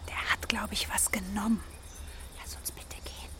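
An actress playing a wary, exasperated middle-aged German wife in naturalistic radio drama dialogue, reproachful and sceptical. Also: female voice, medium pitch, little pitch movement, moderate pace, in a whisper, close to an everyday voice. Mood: nervous whisper.

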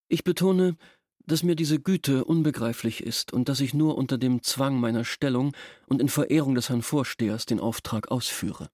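A man's voice: mid-range voice, moderate pace, a little mellow, no room sound, clean studio sound, natural voice. A man playing a resentful village schoolteacher in a radio drama, speaking in a formal, officious, disapproving way. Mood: reproachful. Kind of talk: German radio drama acting.

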